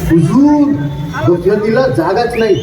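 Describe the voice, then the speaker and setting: Excitable, deferential Mughal minister male actor, extremely high declamatory voice, echoey stage with crowd noise. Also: slow pace, distant mic. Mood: excited, deferential. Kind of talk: theatrical stage-play dialogue.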